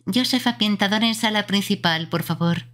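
A woman's voice: medium pitch, normal speed, a bit bright. A woman co-streaming a game in casual, playful live chat.

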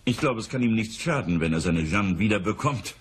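A rich, easygoing businessman in a radio play. The voice is low and very raspy, with lively swings in pitch and a wry, jovial manner. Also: fairly quick. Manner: salopp, humorous, chuckling asides. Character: jovial, casual wealthy businessman, wryly amused. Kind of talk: radio crime drama acting.

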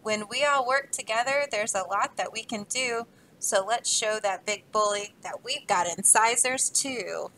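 A plucky little beaver from a children's storybook, earnest and encouraging, as a woman reading aloud puts him on. His voice is bright and set a little high. He speaks with calm resolve. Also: unhurried, a little hiss or hum, low-quality recording. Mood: rallying. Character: small brave male beaver, rallying leader.

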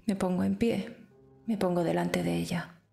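Firm defiant girl character, guy doing her, high and creaky, flat, music behind. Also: moderate pace.

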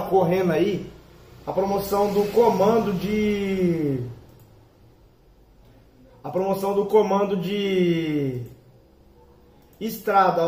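A young hoarse man from inland São Paulo, chatting casually to viewers from his shop, filling his talk with "ó" and "galera". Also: sits high, darkish tone. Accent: Brazilian Portuguese, São Paulo interior. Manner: chatty, repeats "ó" and "galera" as fillers. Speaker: motorcycle parts shop owner. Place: small shop with shelves of parts, indoors. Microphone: far camera. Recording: echoey room, mic at a distance.

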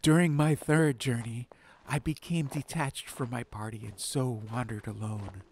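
A man narrating a fantasy audiobook in measured, hushed first-person storytelling. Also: medium pitch, steady tone. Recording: ambient noise bed under the voice.

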